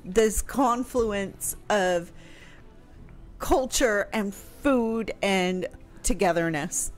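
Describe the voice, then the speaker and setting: A woman's voice: mid-range voice, drawn-out words, soft background music. A middle-aged American woman in a casual radio discussion, speaking as the show's historian into a close microphone. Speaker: historian and talk show guest expert. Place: home room, indoors. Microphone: close studio mic on boom arm, headphones.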